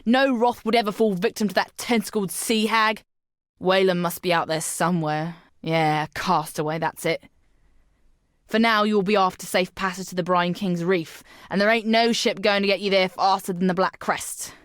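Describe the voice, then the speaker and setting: A woman plays a proud, bawdy young pirate seafarer from a video game. She swaggers through salty old-time sailor slang in a medium-pitched voice. The recording is very dry, with no room to it. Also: normal speed, dry recording, natural voice. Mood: wistful, determined. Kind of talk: video game character dialogue.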